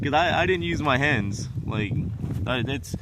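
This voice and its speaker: A man's voice: medium pitch, lively intonation, measured pace, background noise. Rambling young man outdoors. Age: 20s. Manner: rambling, restless, trailing off. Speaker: vlogger.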